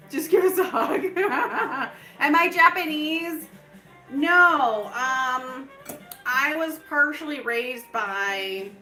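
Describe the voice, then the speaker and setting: A woman's voice: a little high, sharp tone. Rambling woman live-streaming in an echoey room. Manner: rambling, heavy on fillers like "like" and "um"; breaks into laughter. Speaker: livestream host.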